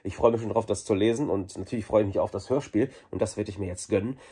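A man in his forties, chatty and enthusiastic, talking casually through an unboxing review with a slightly husky voice. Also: lowish voice, fast, mellow. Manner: chatty, enthusiastic fan talk. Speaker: video reviewer.

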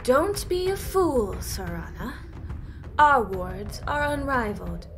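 A woman as a high-voiced, imperious fantasy empress. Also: big pitch swings, faint music behind, full character voice. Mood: haughty, puzzled. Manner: haughty, measured, theatrical. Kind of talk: fantasy audio drama. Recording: noisy ambient sound bed.

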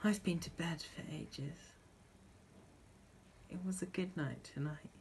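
An acting student playing a frightened, guilt-ridden young child in a dramatic stage monologue, speaking earnestly and naively in halting short sentences. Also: medium pitch, a little hiss or hum. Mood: anxious, hushed.

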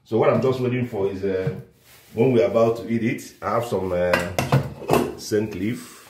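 A man's voice: lowish voice, steady tone, a little slow, heavy background noise. An older man chatting as he cooks in his home kitchen, repeating himself and boasting of his dishes. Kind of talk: casual cooking video. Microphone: phone camera held by another person. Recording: roomy kitchen, mic a bit far.

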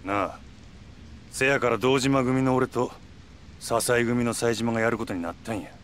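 A man's voice: mid-range voice, normal speed, a little hiss or hum, close to an everyday voice. An actor playing a hot-headed, suspicious young yakuza man in a video game, speaking bluntly with a Kansai accent. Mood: puzzled, tense. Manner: blunt, rough, questioning. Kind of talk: Japanese video game cutscene acting.

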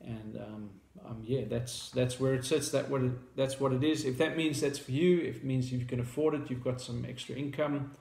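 A man's voice: medium pitch, fairly even intonation, moderate pace, creaky, few pauses, a little mellow, roomy sound. A man in his thirties with a South African accent, a company founder explaining a product in casual talk to camera, hesitant with many fillers and restarts. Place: small indoor room at a table.

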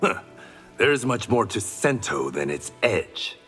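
The calm, deep-toned blade master in a fighting game temple, proudly taking his time before drawing. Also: faint music behind. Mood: calm defiance. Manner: measured, self-assured. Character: calm, proud blind swordsman. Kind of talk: video game fight taunts.

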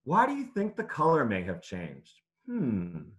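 A man's voice: medium pitch, huge pitch swings, measured pace. A man reading aloud to children as a teacher would, in simple phrases, putting questions to his listeners. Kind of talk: interactive children's read-aloud lesson.

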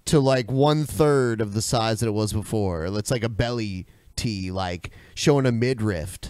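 A man's voice: lowish voice, a little slow, darkish tone, clear, resonant tone, no room sound. A man co-hosting a podcast in casual conversation. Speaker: podcast co-host. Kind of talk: casual chat. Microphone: close podcast microphone on a video call.

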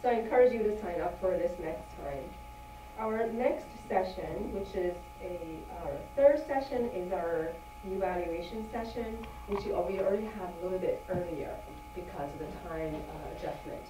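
A woman's voice: lowish voice, normal speed, warm tone. A woman hosting a club meeting, chatty and encouraging. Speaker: meeting host, toastmaster of the day. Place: meeting room with long tables. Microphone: far camera. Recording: echoey room from far off, noisy.

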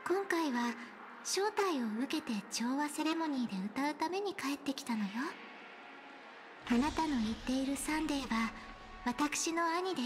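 High-voiced gentle young songstress. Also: female voice. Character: gracious, gentle young female celebrity singer. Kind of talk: Japanese video game voice acting.

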